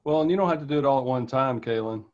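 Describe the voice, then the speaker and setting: Male, mid pitch, dry and encouraging, dull call audio. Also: rapid-fire. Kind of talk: casual video call chat.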